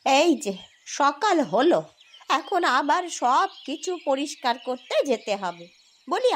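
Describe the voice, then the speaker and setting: A woman's voice: high voice, fairly bright tone, a little hiss or hum, exaggerated voice. A woman voicing a nosy old village cleaning woman in a cartoon folk tale, complaining and exclaiming in a folksy way. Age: older. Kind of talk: cartoon fairy-tale voice acting.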